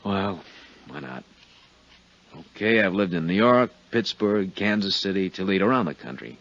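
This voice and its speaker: A man's voice: deep voice, lively intonation, normal speed, fairly bright tone. An actor in a 1950s radio drama playing an affable but uneasy man under questioning, folksy and evasive with nervous chuckles. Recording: dull 1950s radio recording.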